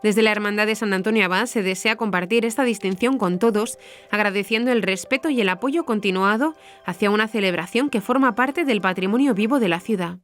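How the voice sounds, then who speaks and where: A woman from narrates the news from a script. Her pitch is average for a woman, and there is faint music behind her. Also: brisk. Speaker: news presenter. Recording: music under the voice.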